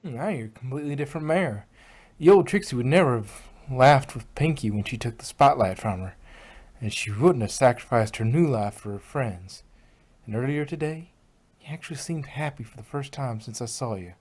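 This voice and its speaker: A man's voice: mid-range voice, expressive, moderate pace, darkish tone, breathy edge. A man voicing an honest, plain-spoken female farm pony with folksy country phrasing in a fan fiction reading. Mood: warm, encouraging.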